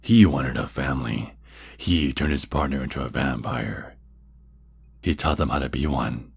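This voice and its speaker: A male actor performs a seductive, possessive vampire kidnapper for an ASMR roleplay. His voice is extremely low and full of gravelly fry, husky at the edges. He talks slowly and intimately, taunting and controlling his captive. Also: heavily acted. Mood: bitter, possessive. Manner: slow, intimate, deliberate, with drawn-out pauses.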